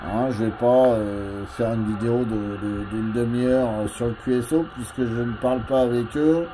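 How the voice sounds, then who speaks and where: A man speaks in a mid-range voice, explaining things informally as a hobbyist making a video. His delivery is hesitant, with frequent pauses. Clear background noise sits behind his words. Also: mid-range voice, steady tone. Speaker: amateur radio listener filming a video. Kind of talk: casual explanatory vlog talk.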